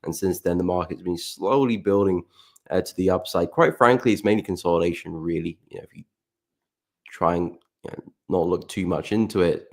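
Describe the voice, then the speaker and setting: A man hosting a live market analysis stream, his voice croaky as he keeps clearing his throat, chatting relaxedly and greeting viewers by name. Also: low voice, a little mellow. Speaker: forex trading livestream host.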